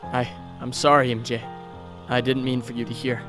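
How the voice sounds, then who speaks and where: A young male hero of a comic dub , played by a man, pours out his grief in a mid-pitched voice. He is earnest, reflective and a little halting, tender throughout, with music under him. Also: level tone, a bit bright, close to an everyday voice. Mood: embarrassed. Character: grieving young male superhero, tender and wistful. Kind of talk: comic dub, dramatic monologue.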